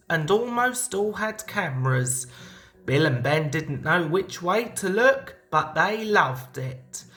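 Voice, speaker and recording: A woman narrating a scripted children's story as an expressive read-aloud. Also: mid-range voice. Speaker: storybook reader.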